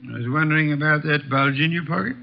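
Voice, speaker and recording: Big ponderous detective genius, gravelly, dry, kinda high for a man, muffled old radio show. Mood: skeptical, imperious. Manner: deliberate, dry, skeptical. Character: ponderous, bulky genius detective, dryly imperious. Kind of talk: 1940s radio mystery acting. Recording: dull old 1940s radio recording.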